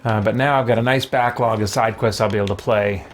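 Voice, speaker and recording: A man's voice: on the low side, fairly even intonation, fast talker, a little hiss or hum. A man giving casual gameplay commentary as a streamer, musing and thinking aloud as he plays. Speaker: game streamer.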